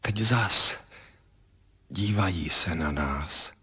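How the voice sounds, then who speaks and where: A man's voice: sits low, sharp tone. An actor playing a haunted, reflective father in a radio drama, speaking hesitantly and sometimes murmuring. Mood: brooding. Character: brooding, haunted father musing on watching eyes. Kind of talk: 1970s radio drama acting. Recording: echoey, reverberant hall.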